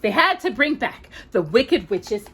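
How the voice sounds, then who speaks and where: A woman hosts a children's storytime. Her voice is high and swings widely in pitch, and she takes her time, drawing words out playfully. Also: measured pace, slight background noise. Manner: expressive, drawn out, playful. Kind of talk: theatrical children's storytelling with casual asides.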